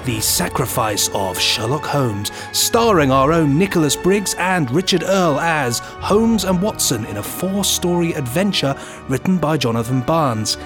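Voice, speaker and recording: A man's voice: a little high, animated, unhurried, over music. A man co-hosting a podcast, reading scripted items mixed with banter. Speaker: podcast co-host.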